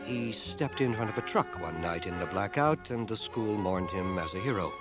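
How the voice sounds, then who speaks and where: A proud, stubborn schoolmaster near fifty in a 1980 radio drama. He is pedantic and sardonic, with a widely swinging pitch. The sound is an old cassette transfer with faint music. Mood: dry, wry narration. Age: middle-aged. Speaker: actor, narrator and lead.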